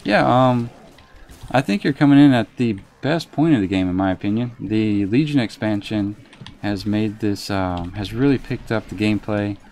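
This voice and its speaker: Soft-spoken man streaming a game. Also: on the low side, a little hiss or hum. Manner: reserved, unhurried, talks while playing. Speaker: live streamer. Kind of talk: casual gameplay commentary, reading chat.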